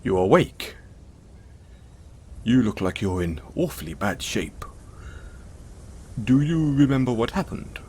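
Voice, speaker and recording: A man playing a gentle, caring, self-conscious centaur in an intimate audio roleplay, speaking in a soothing, hesitant, apologetic way. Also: sits low, a little hiss or hum, heavily acted. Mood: kind, reassuring.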